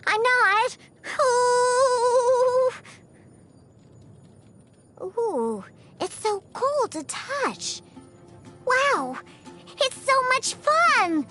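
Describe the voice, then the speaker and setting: High-pitched, huffy young cat-girl. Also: fairly bright tone, full character voice. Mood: delighted. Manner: huffy, defensive, stammering when flustered. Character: proud, grumpy young cat-girl bartender, easily flustered. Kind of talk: video game character voice lines.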